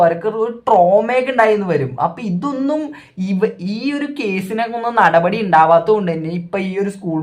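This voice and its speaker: Kerala accent reaction host, talks really fast, casual opinionated rant style, keeps repeating points. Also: mid-range voice. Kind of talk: casual spoken commentary.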